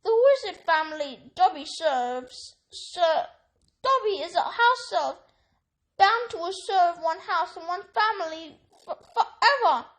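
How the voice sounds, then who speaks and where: A woman voicing a timid, servile male house elf who squeaks and pleads, ending each sentence with "sir", in a storybook reading. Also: animated, takes it slowly, full character voice. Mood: earnest pleading.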